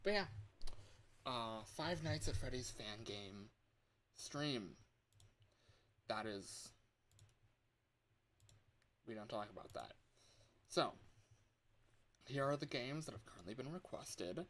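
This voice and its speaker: A man chatting casually to livestream viewers in an excitable, scattered, playful way, with frequent exclamations. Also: mid-range voice, takes it slowly, long pauses. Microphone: external microphone.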